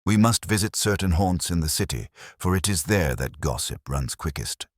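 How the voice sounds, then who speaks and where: A man voicing a brilliant, intense detective in an audiobook, commanding and cryptic, with deliberate, rhetorical delivery. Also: low voice, expressive, no room sound. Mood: resolute.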